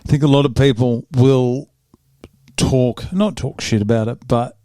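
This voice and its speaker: A man's voice: mid-range voice, a little slow, dark tone, dry recording, clean studio sound. A man hosting a casual interview, upbeat and jokey, dropping in sponsor plugs. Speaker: podcast host.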